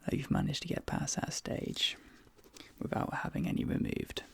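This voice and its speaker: A man softly whispering and murmuring in a soothing, reassuring ASMR dentist roleplay, with breathy delivery and hesitant pauses, close to the microphone. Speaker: ASMR performer role-playing a dentist. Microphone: close microphone, dry.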